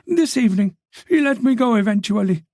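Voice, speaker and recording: A man's voice: very high-pitched, animated, a little fast, a little mellow, no echo, clean studio sound, pitched above the performer's own voice, heavily acted. A man voicing a frightened young woman who apologizes and pleads as she tells her story.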